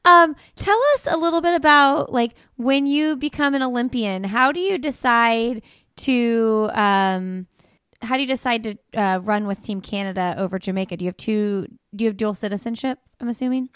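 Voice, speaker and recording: A woman's voice: fairly high pitch, moderate pace, fairly bright tone, clean tone, no room sound, studio quality. A woman hosting a running podcast, asking friendly questions and reading her own ad spots. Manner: friendly, asks questions with fillers. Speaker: podcast host. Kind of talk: podcast interview and ad read.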